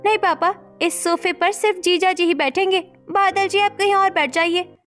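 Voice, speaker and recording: A woman voicing a cheeky, playful teenage younger sister in an animated story. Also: very high voice, normal speed, voice pushed up. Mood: insistent. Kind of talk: Hindi animated story character voice.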